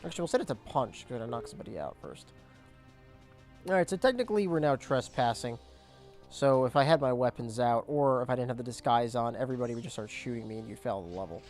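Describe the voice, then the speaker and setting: A young man casually commentating his gameplay, explaining objectives as he goes, his voice cracking and squeaking now and then. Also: darkish tone. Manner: chatty, explains objectives as he plays. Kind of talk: casual let's play commentary.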